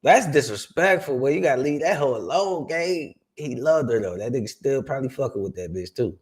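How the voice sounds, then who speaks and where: A man's voice: medium pitch, animated, a little fast. A man from South Carolina hosting a reaction video, talking in loose slang and laughing easily. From South Carolina, USA. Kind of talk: casual reaction commentary.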